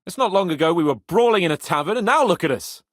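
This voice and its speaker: A brash young nobleman with a British accent. His delivery is haughty yet comradely, swinging widely in pitch with theatrical, teasing flair. He sits fairly high for a man, in a dry recording with no room sound. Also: lively intonation, quick pace, fairly bright tone, no echo. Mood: confident, eager. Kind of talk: medieval video game voice acting.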